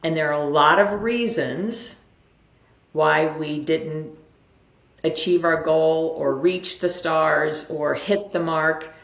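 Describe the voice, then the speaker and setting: A woman's voice: sits low, slow. A woman speaking as an interview guest and coach, explaining in a conversational motivational style and repeating phrases for emphasis. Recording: roomy, echoing sound.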